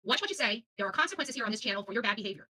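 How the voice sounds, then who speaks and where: A woman's voice: fairly high pitch, animated, racing, bright, forceful tone, husky. A middle-aged woman giving unscripted commentary to her online channel's audience, blunt and combative, with salty language.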